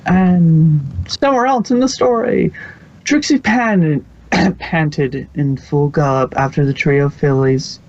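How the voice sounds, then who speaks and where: A man's voice: on the high side, slow, darkish tone, slight background noise. Male story narrator, dull recording. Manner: informal, stumbles and self-corrects. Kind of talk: scripted fan fiction narration.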